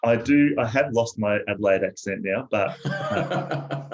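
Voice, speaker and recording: Soft-spoken low-voiced man from South Australia. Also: unhurried, highs cut off. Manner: soft-spoken, reflective, hesitant. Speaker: guest. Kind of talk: personal storytelling in interview.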